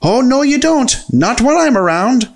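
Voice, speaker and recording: A man's voice: a little high, very animated, normal speed, pitched above the performer's own voice. A man voicing a proud, grumpy little wee man from a children's storybook, indignant and quaintly old-fashioned in his phrasing. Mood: furious.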